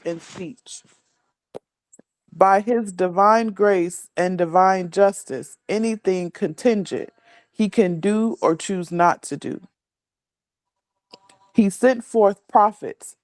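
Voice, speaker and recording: A woman's voice: on the low side, no echo. A woman, a student in a class, reading a religious text aloud line by line.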